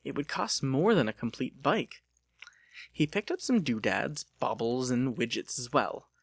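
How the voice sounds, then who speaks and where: A man's voice: mid-range voice, animated, a little slow, vocal fry, dry recording. Man narrating a story, dry close sound. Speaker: audiobook narrator. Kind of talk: dramatic scripted narration of a story.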